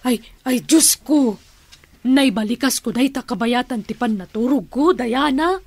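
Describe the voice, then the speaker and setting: A woman's voice: on the high side, lively intonation, a little slow, no room sound. A woman acting in a radio drama as a flustered, giggly aunt who dreams of riches, coy and full of exclamations. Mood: embarrassed.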